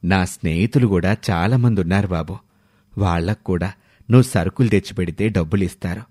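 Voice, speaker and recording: A man voicing a kindly elderly man in a moral story, gentle and measured. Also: deep voice, husky, no echo, clean studio sound, voice pushed down.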